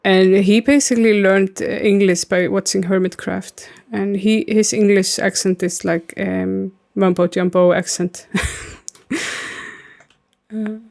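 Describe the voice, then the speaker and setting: A woman's voice: medium pitch, fairly even intonation, a little slow, vocal fry, a little mellow. A woman in her thirties from Iceland with an Icelandic accent, chatting casually with viewers while she plays a game, with lots of ums and likes. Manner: rambling, many fillers, answering chat. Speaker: streamer. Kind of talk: casual gaming stream chat.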